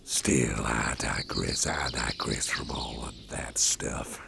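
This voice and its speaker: A man doing a gravelly giant boss. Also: slow pace, noisy background, heavily acted. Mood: easygoing. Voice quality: deep gravelly voice. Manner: rambling, chatty, chuckles to himself. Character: huge intimidating male boss, gruff but protective. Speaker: boss character carrying the listener. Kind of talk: ASMR roleplay, personal attention.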